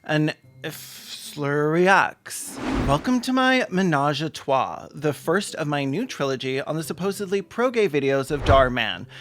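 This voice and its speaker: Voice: medium pitch, slight background noise. A person giving comedic reaction commentary as a video host, sarcastic, campy and theatrical.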